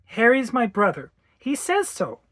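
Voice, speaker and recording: Woman as small insistent girl in an audiobook, pleading and stubborn, pitched up. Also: sing-song, unhurried, no room sound, clean studio sound.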